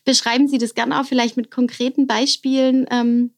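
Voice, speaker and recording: A woman's voice: high-pitched, flat delivery, normal speed. A woman hosting a podcast interview, asking friendly and encouraging questions. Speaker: podcast host.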